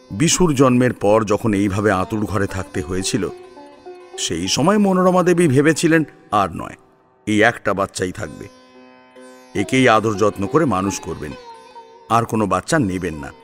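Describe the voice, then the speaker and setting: A man narrating a scripted story in an expressive, dramatic storytelling manner. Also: lowish voice, normal speed, pauses often, faint music behind. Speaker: narrator and co-presenter.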